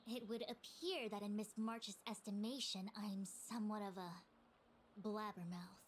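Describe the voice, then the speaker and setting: Woman as self-important young master diviner for a game: mid-pitched, formal, verbose, mildly exasperated, ambient noise. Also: steady tone, moderate pace, close to an everyday voice. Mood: dryly affronted. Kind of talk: scripted video game voice acting.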